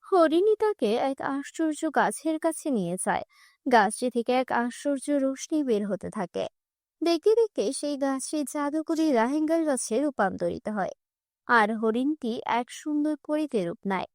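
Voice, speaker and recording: A woman's voice: high-pitched, expressive, normal speed, a little mellow, no echo, clean studio sound. A woman narrating a children's fairy tale from a script.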